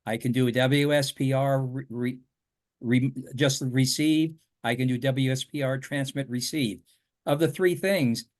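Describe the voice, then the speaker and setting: A plain-spoken man asking questions in a deliberate way during a casual online meeting. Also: mid-range voice. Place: remote teleconference call.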